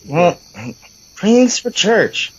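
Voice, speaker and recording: Casting: male actor as sarcastic, complaining brother for horror audio drama, very lively pitch swings. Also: drawn-out words, muffled recording, exaggerated voice. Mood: teasing, mock spooky. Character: teasing, grumbling adult brother, sarcastic and irreverent.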